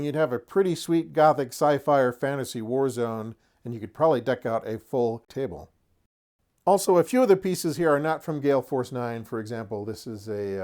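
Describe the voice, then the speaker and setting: A middle-aged man with a medium-pitched voice presents as a channel host. His delivery is casual and folksy, like someone showing off a hobby collection. He slips in dry, joking asides. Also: a little mellow. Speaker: host of a tabletop wargaming channel. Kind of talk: casual product showcase to camera.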